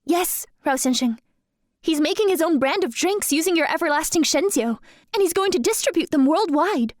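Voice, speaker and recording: Woman acting as coy young female dancer, polite, high-pitched, close dry sound, audio drama. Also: lively intonation, moderate pace, no echo. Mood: matter-of-fact.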